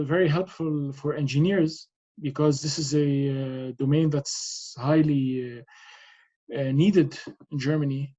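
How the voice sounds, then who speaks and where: A man's voice: a little high, dark tone. A man from Lebanon whose first language is Arabic, an engineer answering interview questions in a casual, hesitant way with many fillers and restarts. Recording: dull lo-fi sound.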